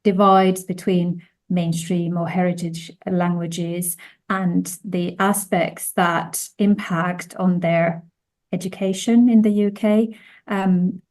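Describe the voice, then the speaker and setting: A woman, by birth, gives a measured conference presentation over a video call. Her voice sits on the low side and sounds mellow and dark. She often restarts her phrases as she goes. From Finland. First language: Finnish. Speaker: conference speaker.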